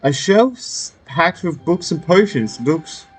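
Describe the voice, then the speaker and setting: A man giving casual, off-the-cuff let's play commentary, halting and repeating his phrases as he goes. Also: on the high side, expressive, slightly rough, soft background music. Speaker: gaming video host.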